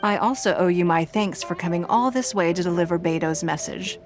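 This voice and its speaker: A dignified female leader, played by a woman in a video game, with a slightly low voice. She is composed, formal and courteous, speaking with measured authority over music. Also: lightly acted. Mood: calm, gracious.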